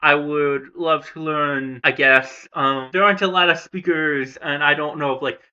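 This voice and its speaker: Hesitant American man with a bright voice. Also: fairly high pitch, fairly even intonation, moderate pace, clear, resonant tone, highs cut off. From United States. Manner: hesitant, lots of fillers and restarts. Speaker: language vlogger. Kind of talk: casual rambling commentary.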